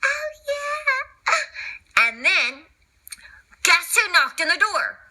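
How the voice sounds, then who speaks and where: A woman's voice: very high-pitched, lively intonation, slow, bright, forceful tone, a little hiss or hum. A woman reading a children's read-along story expressively, with informal asides and exclamations.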